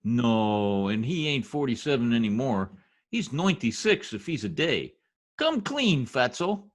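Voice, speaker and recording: A man's voice: medium pitch, big pitch swings, measured pace. A man acting in an old-time radio comedy as a needling, boastful husband with folksy, mangled grammar and a teasing manner. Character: gleeful needling blowhard husband, bungling new census taker. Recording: remote video-call radio play recording.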